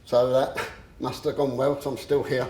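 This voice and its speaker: A man with a stammer giving a personal talk, slow and halting with frequent pauses and fillers. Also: fairly high pitch. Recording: echoey room.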